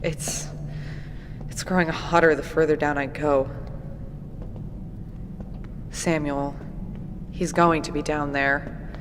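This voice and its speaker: A woman's voice: deep voice, little pitch movement, slow, pauses often. An actress playing an earnest, persistent young researcher recording her findings in a horror audio drama. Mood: uneasy. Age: young adult. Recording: noisy lo-fi tape field recording.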